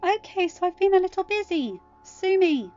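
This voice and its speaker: Woman acting exasperated teen girl, high voice, whiny protests, dull recording, audiobook dialogue. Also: very animated, moderate pace, a little mellow. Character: irritable, stubborn teenage girl, defensive and exasperated. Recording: dull lo-fi home recording, music underneath.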